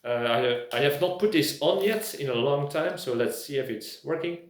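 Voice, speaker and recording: A man's voice: on the high side, animated, normal speed. An English-speaking man gives a casual product review, hesitantly searching for words. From Belgium. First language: not English. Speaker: prepper video host. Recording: echoey room.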